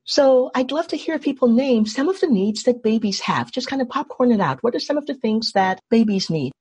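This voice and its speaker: A woman's voice: on the high side, expressive, fairly quick, darkish tone, husky. A woman from Trinidad leading an online workshop, putting questions to the group and explaining in an engaging way over a video call. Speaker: workshop facilitator.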